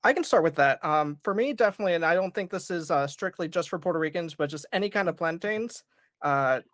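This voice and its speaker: A panel guest chatting casually and warmly about home food, with many fillers. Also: medium pitch, lively intonation, quick pace, dry recording, clean studio sound. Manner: friendly and talkative, many fillers.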